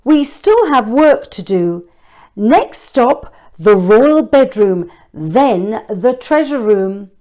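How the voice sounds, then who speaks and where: A woman's voice: fairly high pitch, big pitch swings, takes it slowly, mellow. A woman voicing a bossy, scheming crocodile gang boss in a storybook, curt and commanding.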